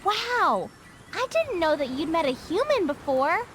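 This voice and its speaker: Very high-pitched, eager young girl. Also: very animated, measured pace, fairly bright tone, slight background noise, heavily acted. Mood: encouraging. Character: eager, helpful young girl apprentice with magic. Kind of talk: animated game voice acting.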